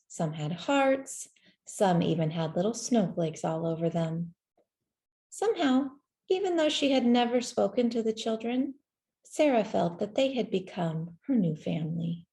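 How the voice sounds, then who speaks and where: Woman, children's storybook narrator, slow pace, soft mellow tone, gentle and measured, deliberate pauses. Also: medium pitch. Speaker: storybook reader. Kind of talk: scripted children's story narration, read aloud.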